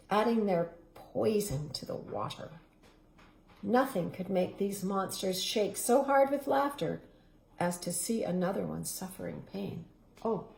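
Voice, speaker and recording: A woman reading a children's storybook aloud, expressive and savoring each word, stopping for playful asides to her young listeners. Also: mid-range voice, gravelly. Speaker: local councillor reading a children's book. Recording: echoey room.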